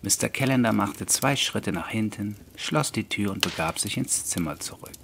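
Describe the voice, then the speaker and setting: Low-pitched male audiobook narrator. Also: expressive, moderate pace, gravelly, noisy background. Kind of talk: scripted literary narration of an eerie story.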